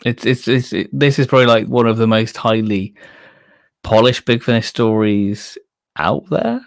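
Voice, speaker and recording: A man co-hosting a casual fan livestream, chatting informally in a hesitant, halting way. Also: mid-range voice, gravelly, no echo, clean studio sound. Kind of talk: casual chat.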